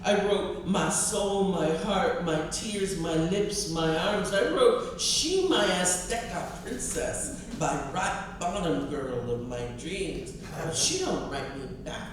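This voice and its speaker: A woman's voice: fairly low pitch, animated, takes it slowly, hardly any pauses. A woman voicing an earnest, pleading, lovesick young male prison student with a streetwise manner during a poetry reading. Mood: wounded, lamenting. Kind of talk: acted character quote in a poetry reading. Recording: distant, very echoey, noisy room.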